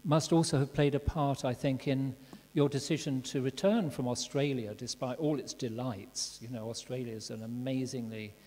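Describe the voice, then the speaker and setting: A man hosts a conference session and courteously asks his guest leading questions. His voice is mid-pitched and mellow. The long reverb of a large hall carries around him. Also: fairly even intonation, normal speed. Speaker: interviewer and session host. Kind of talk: conference interview.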